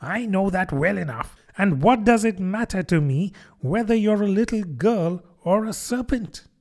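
A man voicing a sleepless, indignant pigeon that scolds and accuses in a shrill, contemptuous manner, in an audiobook. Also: expressive, measured pace, darkish tone. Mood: scornful. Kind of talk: audiobook character voice.

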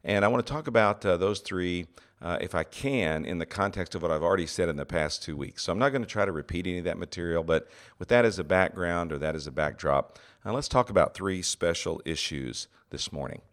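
A middle-aged man hosting a solo teaching podcast, his voice very deep and scratchy from a cold, speaking earnestly with many "uh" pauses. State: has a cold, congested. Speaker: podcast host, seminary president.

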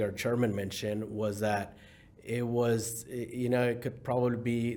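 A man's voice: mid-range voice, darkish tone. A middle-aged man born in Mexico, a company CEO as guest, talking in an easygoing way with fillers and restarts. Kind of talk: casual interview talk.

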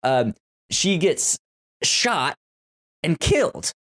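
A man co-hosting a podcast in casual TV recap chat, excitable and often stammering over repeated words. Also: fairly high pitch, very slow. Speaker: podcast co-host.

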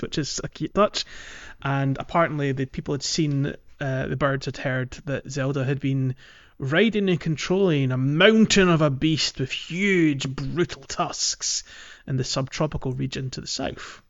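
A man's voice: medium pitch, dry recording, lo-fi sound. A man co-hosting a podcast, chatting casually about a game in a hesitant, meandering way. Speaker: podcast host. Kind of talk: casual game playthrough chat.

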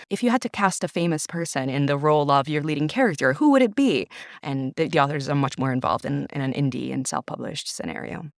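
A woman's voice: very deep voice, dry recording. A woman whose first language is Italian chats in a podcast interview about her work as an audiobook narrator and actor. She sounds eager and effusive and sprinkles her talk with "you know." The sound is close and dry, with no room around it.

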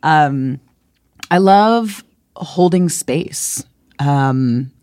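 A woman's voice: deep voice, expressive, extremely slow, darkish tone, dry recording, clean studio sound. A woman co-hosting a podcast who is a singer, talking expressively and laughing easily in casual chat.

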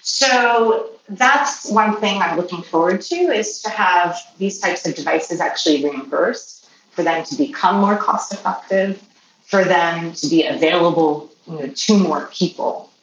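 A woman's voice: fairly low pitch, measured pace. Woman, native Polish speaker, roomy distant sound. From Poland or Polish-speaking family. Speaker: interview guest, healthcare professional. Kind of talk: conversational interview answers. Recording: roomy, distant mic, faint noise.

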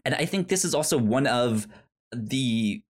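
Halting, stammering podcast co-host. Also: medium pitch, expressive. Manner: halting, stammers on repeated syllables. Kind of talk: casual film discussion.

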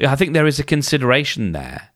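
A hoarse man hosting a casual solo podcast, thinking aloud and often restarting his sentences, talking close to the mic. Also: medium pitch, sing-song, quick pace, fairly bright tone, no room sound. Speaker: podcast host.

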